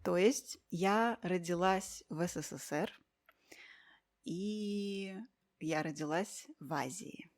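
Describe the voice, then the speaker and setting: A woman from Russia talking as a guest in a conversation for learners, speaking unhurriedly and clearly with soft thoughtful fillers and pauses. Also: lowish voice.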